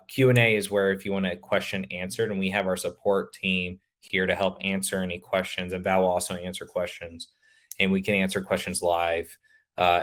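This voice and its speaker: A man presenting a webinar, his voice a little raspy and hoarse, talking casually with many fillers. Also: sits low. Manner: casual, filler-heavy, loose explanations. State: slightly hoarse.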